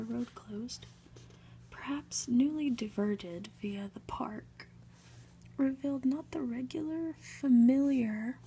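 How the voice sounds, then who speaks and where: A woman's voice: high-pitched, warm tone, a little hiss or hum, rough recording. Whispering woman reading a story, ASMR. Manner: whispering, slow, deliberate delivery with occasional asides. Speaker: ASMR reader.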